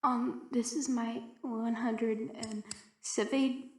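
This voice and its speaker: Scared lone female researcher, played by a woman, high voice, slow halting delivery with stammers, audio drama log in an echoey room. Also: little pitch movement. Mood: uneasy, scared. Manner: hesitant, stammering, anxious. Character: frightened, isolated young woman researcher keeping a log. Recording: echoey roomy recording.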